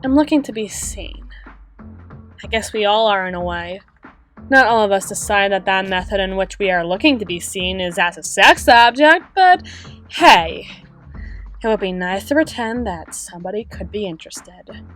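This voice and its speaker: A woman playing a wistful, guarded female half-spider bouncer in an intimate roleplay monologue, musing with dramatic pauses and soft trailing phrases. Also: mid-range voice, animated, soft background music, natural voice. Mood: wistful, yearning. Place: close-miked recording with music playing behind.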